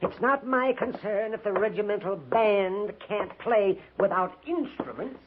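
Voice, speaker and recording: A man's voice: sits high, measured pace, noisy background. A man playing a fussy, nervous army sutler in a 1950s western radio drama, with an American accent and a dithering, florid, melodramatic delivery. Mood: indignant, mournful. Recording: muffled vintage 1950s radio recording.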